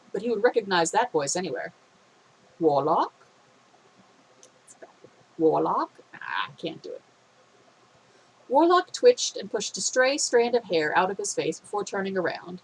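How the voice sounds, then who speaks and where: A woman reading a fan fiction story aloud in a steady, expressive way, her voice a little phlegmy. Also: mid-range voice, slight background noise, low-quality recording.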